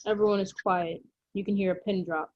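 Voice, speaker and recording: A woman's voice: mid-range voice, fairly even intonation, brisk, no echo. A woman reading stage directions as scripted narration for a drama table read, over slightly dull call audio.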